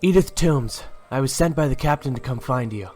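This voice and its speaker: A man's voice: medium pitch, animated, normal speed, darkish tone, soft background music, close to an everyday voice. Formal male messenger soldier played by a man. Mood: formal, dutiful. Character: formal, dutiful male soldier delivering a message.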